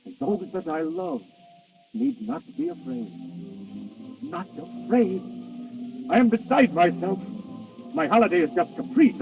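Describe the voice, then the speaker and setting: Grand, high-voiced Death as a courtly prince. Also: male voice, darkish tone. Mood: exultant. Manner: theatrical, courtly, formal, savoring words. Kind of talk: 1930s radio drama acting. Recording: dull, hissy 1930s radio recording, faint music.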